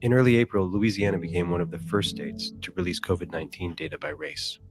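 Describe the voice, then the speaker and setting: A man's voice: deep voice, fast talker. A man presenting a magazine feature in a documentary narration style, as an editor explaining a story. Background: music bed.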